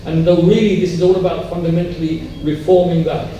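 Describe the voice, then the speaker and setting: A man's voice: on the high side, little pitch movement, brisk, dark tone. A man speaking on a panel at a public discussion, emphatic and repeating phrases for stress. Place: public event hall. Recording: very echoey hall, distant mic, faint noise.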